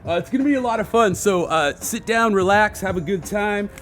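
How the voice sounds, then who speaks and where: Hoarse, laid-back graffiti guy. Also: high voice, a little fast, a bit bright. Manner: laid-back, rambling, slangy. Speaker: graffiti artist and channel host. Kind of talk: casual how-to chat while painting. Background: hip hop backing beats.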